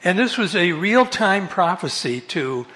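A soft-spoken man giving a relaxed Bible study talk over a microphone in a meeting hall, correcting himself and chuckling now and then. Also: high voice, unhurried, husky. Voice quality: soft-voiced. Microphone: amplified microphone.

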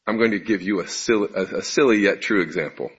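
A man with a deep voice giving a conversational sermon to his congregation, asking them questions and poking fun at himself. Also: quick pace, breathy edge. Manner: conversational, self-deprecating, asks the audience questions. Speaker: preacher giving a congregation talk. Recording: muffled lo-fi recording.

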